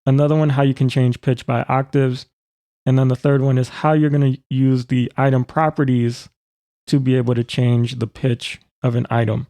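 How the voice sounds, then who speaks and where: Creaky, mellow man giving a software tutorial. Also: mid-range voice, little pitch movement, moderate pace, no echo. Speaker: tutorial presenter. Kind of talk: informal step-by-step software demonstration.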